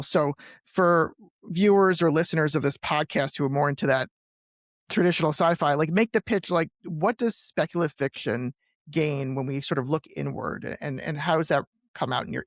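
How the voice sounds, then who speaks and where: A man's voice: fairly high pitch, normal speed, dry recording. Man hosting a podcast, dry close sound. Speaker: podcast host. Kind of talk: scripted guest introduction then casual interview questions.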